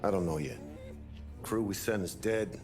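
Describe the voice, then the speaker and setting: A man's voice: low-pitched, moderate pace, close to an everyday voice. An actor playing a streetwise mob underling in a video game, blunt and clipped in his talk. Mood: grim. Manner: plain-spoken, clipped. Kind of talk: cinematic game voice acting. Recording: music behind the voice.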